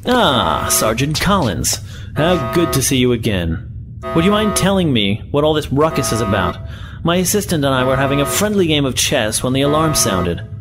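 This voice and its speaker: An actor playing a scheming, self-important professor in a science fiction audio drama, with formal, theatrical diction. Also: male voice, medium pitch, sing-song, moderate pace, natural voice. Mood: feigned innocence. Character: scheming, self-important male professor, smoothly feigning innocence. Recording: loud music under the voice.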